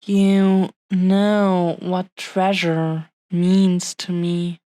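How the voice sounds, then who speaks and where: A woman playing a tender, possessive dragon woman in love in a romantic audio roleplay, with a heartfelt, halting, earnest delivery. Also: lowish voice, little pitch movement, warm tone. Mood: solemn, heartfelt. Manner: heartfelt, halting, earnest pauses between short phrases. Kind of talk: romantic audio roleplay, intimate scripted monologue.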